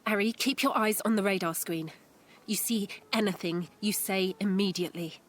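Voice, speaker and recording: A woman's voice: mid-range voice, normal speed, slight background noise, lightly acted. Wary, firm mother at sea played by a woman. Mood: wary, tense. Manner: terse, guarded, commanding. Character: wary, firm mother keeping watch aboard a boat. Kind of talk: naturalistic audio drama acting.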